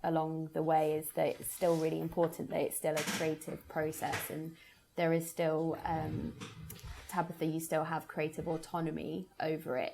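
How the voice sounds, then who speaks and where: A woman's voice: low-pitched, vocal fry, a little mellow. A woman leading a casual interview as a producer, phrasing her questions in a tentative, meandering way. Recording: noticeable background noise.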